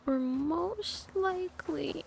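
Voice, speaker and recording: A woman's voice: very high voice, drawn-out words, gravelly, a little mellow, slight background noise. A woman live streamer giving casual gameplay commentary in sarcastic, slangy asides.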